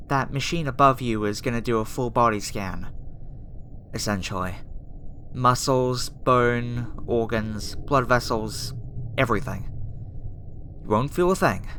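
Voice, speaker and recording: Slow, gentle alien girl, played by a woman. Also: very deep voice, a little hiss or hum, full character voice. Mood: calm, reassuring. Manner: soft, hesitant, talking directly to the listener. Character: gentle, flustered young alien woman scientist, kind and conflicted. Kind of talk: ASMR roleplay acting.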